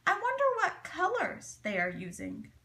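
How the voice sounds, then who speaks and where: A woman's voice: very high voice, wildly swooping pitch, normal speed, creaky, pushed and bright, pitched above the performer's own voice, heavily acted. A woman voicing a proud, curious quilting club president in a prim, musing storybook voice. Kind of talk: storybook character voice.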